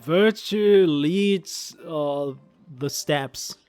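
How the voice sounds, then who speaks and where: A man's voice: fairly high pitch, drawn-out words, a little mellow, clear, resonant tone, no room sound, soft background music. A man from Germany with a German accent, casually explaining video game voice lines as a co-commentator.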